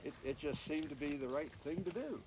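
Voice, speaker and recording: Man as modest, polite raven, animated. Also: on the high side, a little fast. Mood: bashful, surprised. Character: humble, polite, modest young male raven. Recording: distant mic, noisy outdoor recording.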